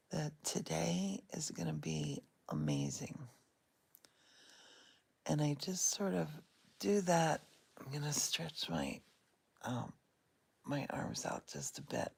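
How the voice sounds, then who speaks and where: A middle-aged woman talking softly and unhurriedly from her bed, recorded on a phone she holds in one hand. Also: low voice, vocal fry, slightly rough. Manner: soft and whispery, unhurried. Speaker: vlogger. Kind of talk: casual guided meditation vlog. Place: in bed at home. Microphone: phone held in one hand.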